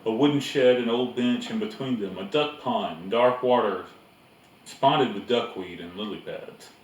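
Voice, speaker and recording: A man's voice: medium pitch, measured pace. A man reading a storybook aloud as narrator. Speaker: reader. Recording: echoey room, distant mic, faint noise.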